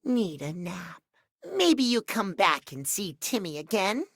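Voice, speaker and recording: A man's voice: sits high, big pitch swings, slow pace, fairly bright tone, no echo, studio quality, full character voice. A man voicing a cutesy, sulky, lazy catboy clock in a video game, with childlike pouting. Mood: whiny, sulky. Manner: childlike pouting, speaks of self in third person. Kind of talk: video game character acting.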